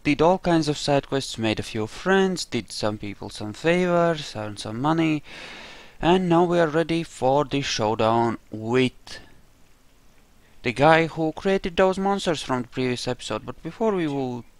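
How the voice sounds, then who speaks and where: A man's voice: on the high side, expressive, unhurried, dry recording. A man giving casual game commentary, chatty and thinking aloud as he plays. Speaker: gamer narrating a playthrough.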